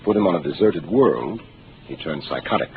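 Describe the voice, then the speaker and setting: Seasoned starship captain, 1950s radio play, low and measured, hissy old broadcast. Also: male voice, expressive, phone-quality sound. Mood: explaining. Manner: measured, authoritative, explaining patiently. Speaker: ship captain. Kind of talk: 1950s radio drama acting.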